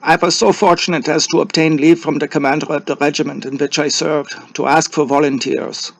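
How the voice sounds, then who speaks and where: A man's voice: a little high, level tone, fairly quick, gravelly. A man voicing an obliging, deferential hotel keeper in a dramatic story reading.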